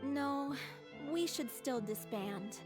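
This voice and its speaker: A frail young female performer from a video game, with a high voice. She talks plainly and with stoic resignation, as someone used to bad luck. Faint music sits behind her. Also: lively intonation, slow. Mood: weary. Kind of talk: video game voice acting, scripted dialogue.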